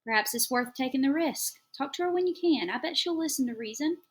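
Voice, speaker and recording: Audiobook: woman voicing a warm-toned, coaxing foster mom, pitched up a bit, a little louder than the narration. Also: animated, a little fast, husky. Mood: encouraging. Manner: gentle, coaxing, sharpens when upset. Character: caring but strained foster mother, woman. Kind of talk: audiobook character voice.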